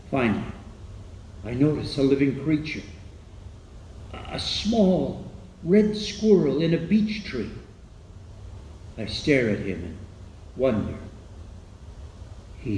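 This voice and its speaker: An actor playing a weary, frightened survivor of an alien invasion in a halting dramatic radio monologue. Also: male voice, medium pitch, a little mellow, roomy sound, a little hiss or hum, poor audio. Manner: halting, hesitant delivery with stammered repeats.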